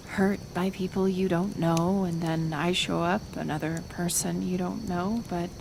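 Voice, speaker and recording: Casting: woman as kind, lonely half-elf healer for ASMR roleplay; unhurried, hushed, tentative delivery with some vocal fry. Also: lowish voice, background noise. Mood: earnest, tender. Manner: soft, hesitant, soothing, with pauses. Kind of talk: intimate ASMR roleplay acting.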